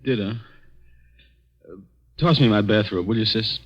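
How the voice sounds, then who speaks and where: Actor as a shaken, halting young American man, old radio. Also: lowish voice, natural voice. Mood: groggy, uneasy. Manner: halting, agitated, stammering. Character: shaken, anxious unemployed young man after a drugged night. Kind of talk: 1940s radio drama acting. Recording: dull, muffled old radio recording.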